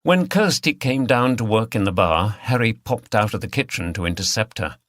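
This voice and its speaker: A man's voice: fairly low pitch, expressive, moderate pace, a bit bright, no echo, clean studio sound. A man reading scripted third-person story narration as an audiobook narrator.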